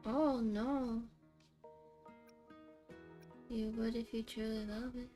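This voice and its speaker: A woman's voice: on the high side, fairly even intonation, slow pace, over music. A woman game streamer giving casual live commentary, musing and reacting aloud in short remarks.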